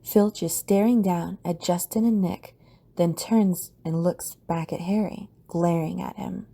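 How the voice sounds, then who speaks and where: A woman reading scripted story narration for an audio drama in a mellow, husky voice. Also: lowish voice, fairly even intonation, unhurried.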